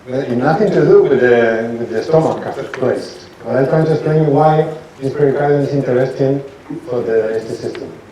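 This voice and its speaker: A man's voice: mid-range voice, warm tone. Man lecturing in an echoey hall. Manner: halting, restarts sentences, asks audience rhetorical questions. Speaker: lecturing doctor. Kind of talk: informal lecture to an audience. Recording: echoey hall, from a distance, faint noise.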